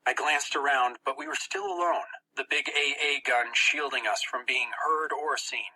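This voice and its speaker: A narrator reading a first-person story for an audiobook in a tense, expressive, dramatic way. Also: mid-range voice, moderate pace, low-quality recording.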